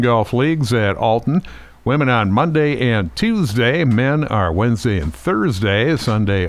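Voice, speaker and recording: A man's voice: mid-range voice, no echo. A man co-hosting a local radio golf show, easygoing as he reads out course listings. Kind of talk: casual chat and reading course listings.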